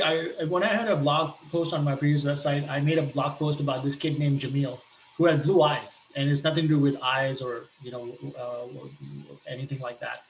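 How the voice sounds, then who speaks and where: A man from Pakistan talking earnestly about his life, pausing often and restarting his sentences. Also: mid-range voice, gravelly, echoey room, slight background noise, rough recording. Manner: hesitant, earnest, many restarts and fillers. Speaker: entrepreneur sharing a personal appeal. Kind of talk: personal talk with shared images.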